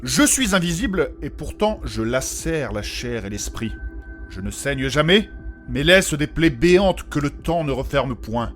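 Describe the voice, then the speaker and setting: Guy as a polite earnest party leader, formal theatrical talk, bit high, fantasy audio play over soft music. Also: animated, a little slow. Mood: solemn. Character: courteous, earnest male adventurer leading his companions. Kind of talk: comic fantasy audio drama acting.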